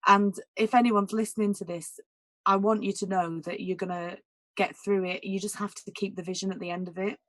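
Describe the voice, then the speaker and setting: A woman jazz singer with a naturally husky voice, talking candidly in a casual interview, full of "like" and "you know". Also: medium pitch, steady tone, brisk, no echo. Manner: candid and emotional, many "like" and "you know" fillers.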